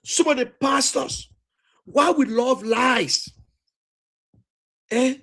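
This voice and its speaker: A man's voice: extremely high pitch, extremely slow, gravelly, a bit bright. A man from Liberia with a Liberian English accent gives an impassioned political talk, emphatic and repetitive, with a preacher-like cadence.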